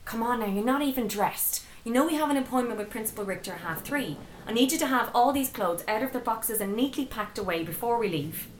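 A woman's voice: on the high side, lively intonation, brisk, hardly any pauses, natural voice. Stern Irish mother played by an actress, noisy background. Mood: brisk, nagging. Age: middle-aged. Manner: scolding, emphatic, commanding. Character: stern, exasperated mother of a rebellious teenage daughter. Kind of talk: radio drama acting.

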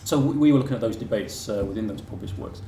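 A man gives a conference presentation in a lecture room, his lowish voice halting and full of fillers and restarts. He is picked up from a distance by the room mic, so the sound is roomy with a faint hiss underneath. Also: dark tone. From England. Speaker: academic speaker. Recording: echoey room from far off with faint noise.

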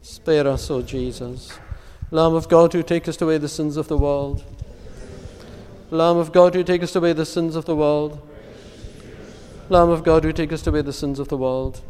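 A man solemnly reciting psalms, litanies and prayers in a measured, reverent, formal way as a prayer leader. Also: on the high side, fairly even intonation, a little mellow, slight background noise. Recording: long echoing church-like reverb.

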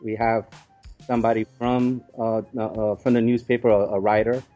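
A man with a mellow, relaxed voice answers as an interview guest. He explains things casually and somewhat haltingly, building lists of examples. Soft music sits faintly underneath. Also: fairly low pitch, dull audio.

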